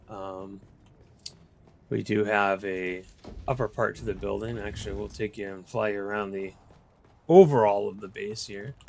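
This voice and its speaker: A man's voice: fairly low pitch, vocal fry. A man with a Boston accent casually showing viewers around his game base, rambling with fillers and restarts. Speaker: gameplay video host. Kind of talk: casual game walkthrough commentary.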